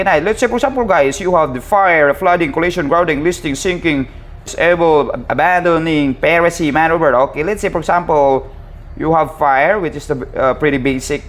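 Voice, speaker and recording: A man casually teaching a hands-on equipment tutorial as an instructor, halting and repetitive, often addressing his listeners directly. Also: on the high side. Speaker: maritime instructor and channel host.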